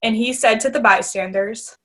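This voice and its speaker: A fairly high female voice narrates scripture for a readers theater. She reads formally, at a measured, scripted gait. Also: a bit bright.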